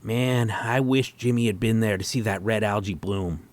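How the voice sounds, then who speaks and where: A man's voice: on the low side, normal speed, close to an everyday voice. A man playing a naive, sentimental bro in a bunker commune, rambling casually and hesitantly through an audio comedy testimonial. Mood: wistful, naive.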